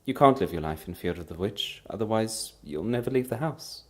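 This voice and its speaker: A man's voice: on the low side, animated, fairly quick, natural voice. An impassioned storybook wife , acted out by a man, proud and defiant through her anguish. She speaks emphatically, her voice trembling with feeling as she stands up for the husband she loves. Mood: resolute. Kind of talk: dramatic storybook character reading.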